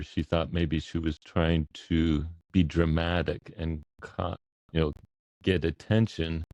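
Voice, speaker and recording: A man's voice: sits low, a little mellow, no room sound, dull audio. A man speaking as a guest, telling a personal account to an online audience. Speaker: guest speaker, podcast co-host.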